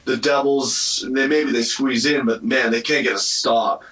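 Echoey, bright-voiced male hockey analyst. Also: medium pitch, steady tone, measured pace. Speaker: hockey radio commentator, guest analyst. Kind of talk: conversational sports commentary. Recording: roomy, echoey remote call, distant mic.

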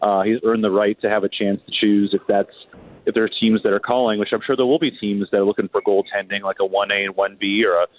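A man's voice: fairly low pitch, steady tone, fast. A man speaking as a reporter guest on a sports show, talking through hockey analysis in a hesitant, word-repeating conversational way. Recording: thin phone line, roomy echo.

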